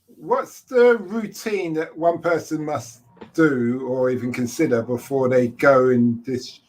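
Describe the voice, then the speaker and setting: A man in his forties, co-hosting a podcast, joking and acting out his reactions in an animated way. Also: mid-range voice, slow pace, a little hiss or hum. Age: 40s. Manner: animated, jokey, acts out reactions. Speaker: podcast co-host. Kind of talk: casual podcast conversation.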